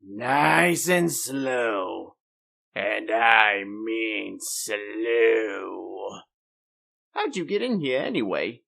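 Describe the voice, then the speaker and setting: A performer voicing a stern armed security guard giving deliberate commands in a comic dub. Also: medium pitch, expressive, vocal fry, sharp tone, heavily acted. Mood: commanding.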